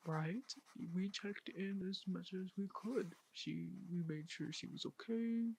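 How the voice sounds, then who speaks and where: A woman voicing a meek, agreeable father in a soft-spoken ASMR roleplay, with halting delivery. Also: mid-range voice, gravelly, a little hiss or hum, poor audio. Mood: meek, defensive.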